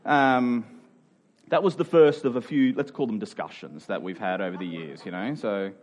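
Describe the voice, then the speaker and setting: A man's voice: lowish voice, normal speed, a little mellow. A man preaching in a conversational, expository style, earnest and informal, leaning on key phrases for emphasis.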